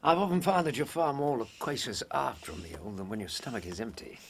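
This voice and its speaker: An earnest British gentleman companion in a radio play. His mid-pitched voice is formal and proper yet very expressive, with a little background noise. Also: brisk, vocal fry. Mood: genial, curious. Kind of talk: audio drama acting.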